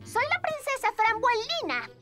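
A woman's voice: extremely high pitch, huge pitch swings, normal speed, exaggerated voice. An actress playing a haughty young fairy-tale princess in a children's cartoon, imperious and indignant, with music behind her. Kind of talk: animated children's cartoon acting. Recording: loud music under the voice.

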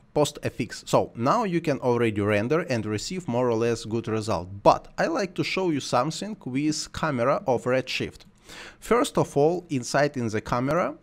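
A man whose first language is Russian or Ukrainian, talking through a screen-recorded software tutorial while he works, in simple, halting English. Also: medium pitch. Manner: thinks aloud while working, simple non-native phrasing.

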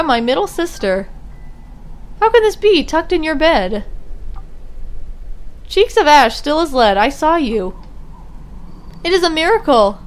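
A woman's voice: high voice, voice pushed up. A woman reading the lines of an earnest young princess girl aloud from a game, in a slightly lifted voice. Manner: reads rhyming game dialogue aloud in a lifted voice.

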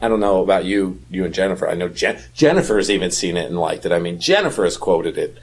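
A man with a husky voice chatting casually and enthusiastically as a podcast co-host about films. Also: deep voice, animated, fast.

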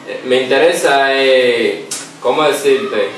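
A young man making a prank phone call from a couch, halting and repeating himself, holding back laughs. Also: mid-range voice, a little hiss or hum. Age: young adult. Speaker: prank caller. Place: small living room, seated on a couch. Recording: echoey room, far from the mic.

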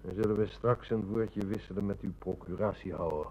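Radio play inspector nearing retirement, played by a man: lowish, mellow voice, measured and shrewd. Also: lightly acted, rough recording. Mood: methodical, probing. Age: older. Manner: measured, methodical, polite questioning. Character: shrewd, methodical police inspector near retirement. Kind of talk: Dutch radio play acting.

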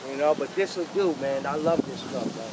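A man in his forties chatting modestly and a bit haltingly about his truck at an outdoor car meet. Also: on the high side, expressive. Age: 40s. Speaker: car owner. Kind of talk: casual chat. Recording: loud wind and engine noise.

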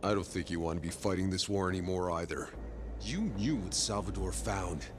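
A man's voice: sits low, normal speed, noisy background, lightly acted. A man playing a war-weary but determined soldier hero in a video game cutscene, speaking bluntly and intensely. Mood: accusing.

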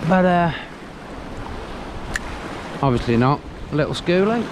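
A man's voice: fairly high pitch, lively intonation, slow pace. Man chatting over wind and surf noise. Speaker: angler vlogger. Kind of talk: casual chat to camera while fishing. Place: outdoors on sea cliff rocks. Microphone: action camera worn on the body. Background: sea waves and wind.

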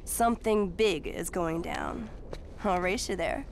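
A woman's voice: mid-range voice, a little slow, a little hiss or hum, close to an everyday voice. A woman voicing a flirty, teasing, carefree thrill-seeker in a video game, with a sultry and taunting manner.

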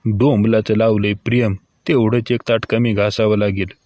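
A man's voice: fairly low pitch, little pitch movement, moderate pace, darkish tone, slight background noise, lightly acted. A man playing a droll, bantering husband in a cartoon comedy skit. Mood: dry, teasing.

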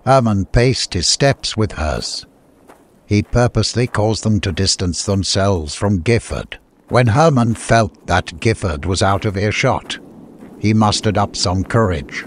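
A man's voice: lowish voice, a little slow, slight background noise. A man reading a scripted adventure story as an audiobook narrator.

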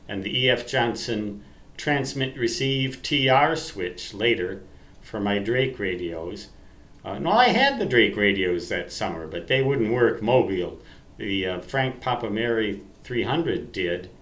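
An American man in his sixties with a fairly low voice talks slowly and haltingly, reminiscing in a folksy way. He pokes fun at himself as he rambles. The sound is echoey, like a webcam in a room at home. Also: a bit bright. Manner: folksy, self-deprecating, halting. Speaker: amateur radio vlogger.

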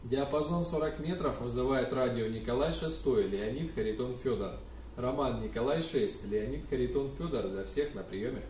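A young man operates a ham radio, making contacts with spelled-out call signs. He is heard from a distance in an echoey room, with faint noise behind him. His pitch is ordinary for a man. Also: moderate pace. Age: young adult. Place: small indoor room with radio desk and computers.

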